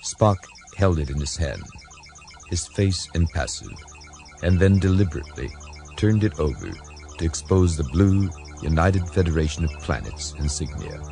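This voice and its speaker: A man's voice: deep voice, lively intonation, moderate pace, creaky, over music. A man reading dramatic scripted narration as an audiobook narrator.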